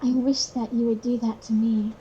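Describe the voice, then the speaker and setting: A woman voicing a whiny, overwrought, lovestruck girl in a comedic fan fiction reading, with halting delivery. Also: a little high, level tone, mellow, highs cut off, natural voice. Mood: awkward, bashful. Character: dramatic, flustered, whiny girl in love. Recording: clearly noisy home recording.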